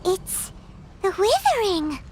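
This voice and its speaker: A woman voicing a tiny, excitable, childlike fairy companion in a video game, with a squeaky, bubbly voice. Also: slow pace, over loud music, heavily acted. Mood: alarmed. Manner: bubbly, third-person speech, exclamatory.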